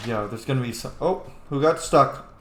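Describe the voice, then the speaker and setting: A man's voice: medium pitch, moderate pace, a little hiss or hum. Casual male gamer in an echoey room. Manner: casual, sweary, talks to the game characters. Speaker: gaming video host playing a role-playing game. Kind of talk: casual gameplay commentary.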